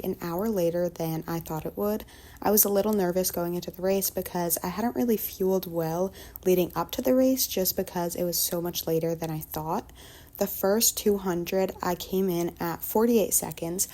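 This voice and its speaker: A woman's voice: fairly low pitch, dark tone. A young woman in her late teens giving an easygoing voice-over recap of her race. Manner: easygoing recounting, mild fillers. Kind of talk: voice-over narration of race footage.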